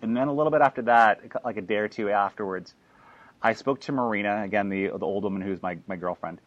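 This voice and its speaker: A low-voiced man talks an audience through how he made his documentary. He rambles, with restarts and fillers. The recording is dull and lo-fi. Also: fast talker. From Canada. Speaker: filmmaker presenting his documentary. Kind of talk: talk to an audience after a screening.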